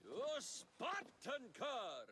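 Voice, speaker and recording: A man's voice: very high-pitched, wildly swooping pitch, drawn-out words, bright, forceful tone, a little hiss or hum, exaggerated voice. A man voicing a boastful actor who plays a Persian king in a game's stage play, declaiming in a pompous, theatrical way.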